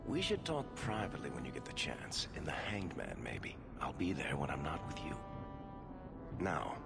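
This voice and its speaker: An actor playing a persuasive male dwarf merchant who pitches deals, in a video game. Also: huge pitch swings, normal speed, full character voice. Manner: smooth, persuasive and conspiratorial. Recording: game audio with music underneath.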